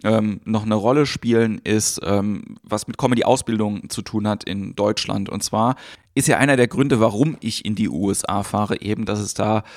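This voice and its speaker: Man, podcast host, low voice, gravelly, dry close sound, chatty with fillers. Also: normal speed, no echo. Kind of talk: podcast intro and interviewing.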